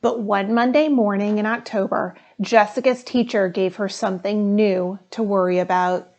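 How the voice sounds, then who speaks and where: A woman's voice: mid-range voice, fairly even intonation, moderate pace, darkish tone, muffled recording. A woman reading a children's picture book aloud as a storyteller, acting out the dialogue expressively. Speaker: storytime reader, firefighter.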